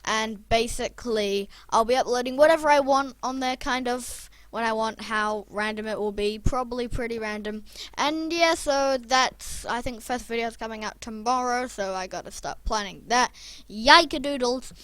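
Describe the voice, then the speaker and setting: A young YouTuber with a croaky voice, rambling casually and chatting through a building video. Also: mid-range voice, moderate pace, fairly bright tone, no room sound. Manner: rambling, chatty, self-deprecating. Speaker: Minecraft YouTuber. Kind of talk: casual gameplay commentary.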